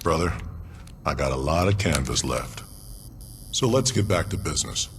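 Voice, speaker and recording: A very deep-voiced, domineering gang boss for a video game. He talks with blunt swagger and lively swings in pitch. There is clear vehicle noise behind him. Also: normal speed. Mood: confident, businesslike. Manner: blunt, swaggering, foul-mouthed. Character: menacing tattooed male gang boss, arrogant, domineering. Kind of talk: dramatic video game cutscene acting.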